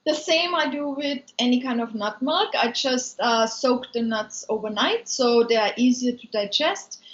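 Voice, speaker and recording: A woman's voice: on the high side, measured pace. Austrian woman with a German accent, echoey room. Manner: chatty, loose phrasing with many ums. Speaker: food maker and business owner. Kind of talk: live-stream product talk, answering viewer comments. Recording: echoey room, dull lo-fi sound.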